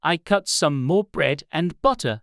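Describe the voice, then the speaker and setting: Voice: medium pitch, lively intonation, measured pace, fairly bright tone, no echo, studio quality, pitched above the performer's own voice. A narrator voicing a miserable, anxious hatter with a trembling manner, in a storybook reading. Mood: pleading. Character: nervous, miserable poor hatter, pleading and flustered.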